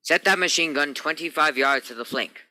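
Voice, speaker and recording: An actor playing a firm spaceship captain in a science fiction radio play, giving orders with authority. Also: male voice, a little high, moderate pace, sharp tone, dry recording, poor audio. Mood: tense, cautious.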